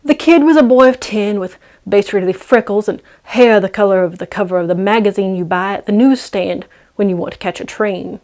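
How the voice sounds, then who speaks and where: A woman's voice: mid-range voice, brisk, few pauses, a little mellow. A woman storyteller narrating in a dramatic, scripted read-aloud style.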